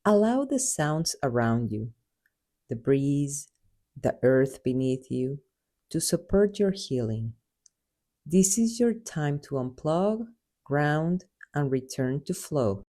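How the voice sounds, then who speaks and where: A woman leading a guided meditation in a soothing, unhurried manner with measured phrases. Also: deep voice, big pitch swings, warm tone. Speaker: guided meditation host and Reiki practitioner.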